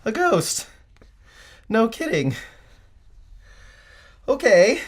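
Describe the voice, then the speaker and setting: An actress playing an excitable, incredulous young date in an audio drama. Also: female voice, on the high side, drawn-out words, breathy edge, full character voice.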